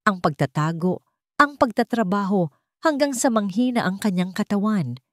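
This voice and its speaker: A woman's voice: mid-range voice, lively intonation, normal speed, no room sound, studio quality. A woman narrator reading a scripted romantic drama in a storytelling style.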